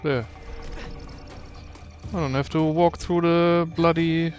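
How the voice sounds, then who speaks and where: A man's voice: a little high, fairly even intonation, takes it slowly, soft background music. A man giving casual gameplay commentary, muttering wryly and thinking aloud as he plays. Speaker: gaming video host.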